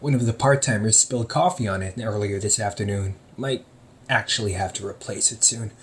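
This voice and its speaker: A man's voice: fairly low pitch, fairly quick, slight background noise, natural voice. A man acting out a chatty, teasing young older brother who runs a hobby shop, talking one-sided to the listener in an audio roleplay and laughing often. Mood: casual.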